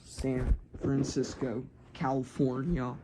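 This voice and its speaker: A man reads amateur mock emergency announcements in a halting, informal way, correcting himself and slipping into casual asides. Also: medium pitch, flat delivery, noisy background. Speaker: narrator of a homemade emergency alert scenario.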